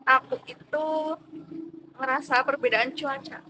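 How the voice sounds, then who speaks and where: A young woman from Central Java, chatting in a relaxed way about moving for university. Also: high voice, unhurried, noisy background. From Purbalingga, Central Java. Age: young adult. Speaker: co-host, university student. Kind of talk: casual chat.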